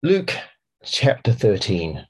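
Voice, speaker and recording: A man with a New Zealand accent, a church speaker reading scripture aloud for a podcast in a measured, expressive way. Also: mid-range voice, husky.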